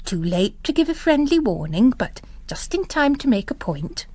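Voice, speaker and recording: A woman reading literary fiction as narrator, in a measured, expressive storytelling delivery. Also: on the high side. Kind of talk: dramatised audiobook storytelling.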